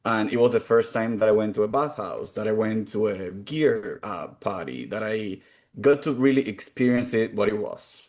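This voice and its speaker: A man's voice: lowish voice, normal speed, darkish tone. Earnest Cuban-born man. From Cuba. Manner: earnest, open and reflective. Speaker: guest. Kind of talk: conversational interview answers.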